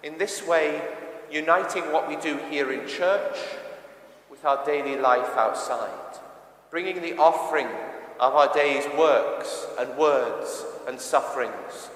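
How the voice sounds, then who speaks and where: A man's voice: on the high side, takes it slowly, a bit bright. Echoey church sermon from a male preacher. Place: large reverberant church interior. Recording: echoey church with long reverb.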